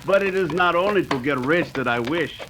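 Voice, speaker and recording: A man's voice: a little high, lively intonation, a little fast, noisy background, close to an everyday voice. A man playing a vengeful, scheming outlaw leader with a put-on Mexican Spanish accent in an old western radio drama. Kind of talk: 1930s western radio acting.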